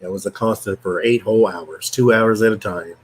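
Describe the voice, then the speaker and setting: Husky-voiced live stream host. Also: male voice, lowish voice, brisk. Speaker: host and psychic reader. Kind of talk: casual live stream chat.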